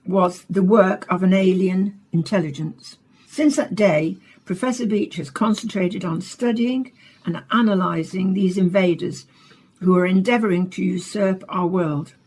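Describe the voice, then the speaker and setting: A woman playing a dutiful radio broadcaster in a dystopian science fiction play, with a slightly husky voice and scripted delivery. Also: medium pitch, darkish tone, close to an everyday voice. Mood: formal, deferential. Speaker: radio interviewer and narrator. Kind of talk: scripted radio drama monologue and interview.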